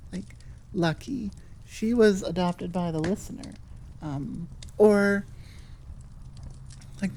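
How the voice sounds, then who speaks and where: A woman's voice: on the low side, gravelly, a little mellow. A woman hosting her audio roleplay channel, chatting to listeners in an informal, rambling, candid way. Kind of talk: casual chat to listeners.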